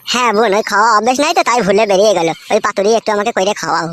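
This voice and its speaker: A man's voice: high-pitched, racing, a bit bright. A man voicing a downtrodden, naive young village husband in a comic cartoon, plaintive and deferential. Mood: humble, comic. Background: music.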